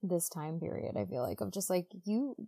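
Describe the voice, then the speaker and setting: A woman's voice: fairly low pitch, big pitch swings, normal speed, creaky, mellow, husky. Female podcast co-host, informal comedic recapper who slips into characters' lines in slangy modern speech. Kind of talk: casual comedic book recap.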